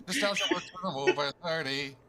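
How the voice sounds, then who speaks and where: A man's voice: on the high side, fairly quick, a crowd in the background. A man over thirty, chatting as a guest on a live panel. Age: 30s or older.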